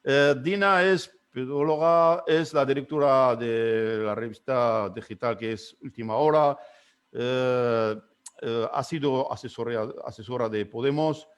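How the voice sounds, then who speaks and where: A man runs an online panel discussion in a voice of ordinary pitch. He speaks haltingly, filling gaps with hesitation sounds and restarting sentences while he introduces the guests. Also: clear, resonant tone. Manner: hesitant, many fillers and restarts. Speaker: moderator.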